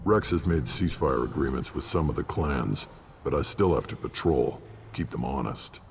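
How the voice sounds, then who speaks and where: A man playing a gruff, battle-hardened alien scout commander in a video game, speaking bluntly in a gravelly voice. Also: extremely low pitch, normal speed. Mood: gruff, matter-of-fact. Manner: blunt, matter-of-fact. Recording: long reverb, clear background noise.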